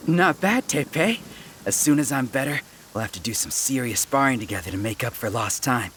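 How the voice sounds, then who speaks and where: A man's voice: mid-range voice, lively intonation, brisk, a bit bright, a little hiss or hum, lightly acted. An actor playing a tough, competitive male soldier in a video game, with a blunt, wry manner. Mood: approving. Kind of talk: video game character dialogue.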